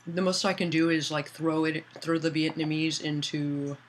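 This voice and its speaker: Author on a livestream, mid pitch, rambly and chatty, faint background noise. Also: steady tone, moderate pace. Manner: chatty, answers chat messages aloud; loose, with many fillers and restarts. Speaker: author streaming to patrons. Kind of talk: casual livestream chat.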